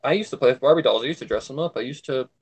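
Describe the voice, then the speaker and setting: A man's voice: medium pitch, rapid-fire, husky. A man in casual conversation with a blunt, grumbling way of talking. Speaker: livestream co-host. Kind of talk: casual chat.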